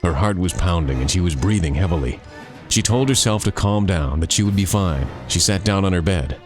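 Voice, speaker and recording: A man narrating a scripted scary campfire story, dramatic, with deliberate pauses. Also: low voice, expressive, music in the background. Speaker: author and narrator.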